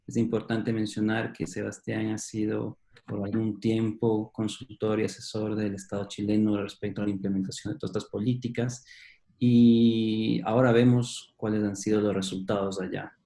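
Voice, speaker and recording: A man's voice: lowish voice, vocal fry, warm tone, roomy sound. A man moderating a formal panel, introducing and handing over between speakers.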